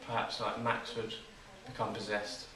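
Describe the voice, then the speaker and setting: An eighteen-year-old man answering interview questions in a measured, tentative way. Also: on the low side, little pitch movement, crowd noise. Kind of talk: casual answers. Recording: echoey room, far from mic.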